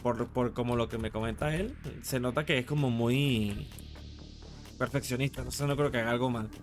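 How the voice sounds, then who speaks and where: A man's voice: mid-range voice, moderate pace, soft background music. A man with a Latin American Spanish accent chatting casually on a live gaming stream, informal and slangy, with some casual swearing. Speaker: game streamer.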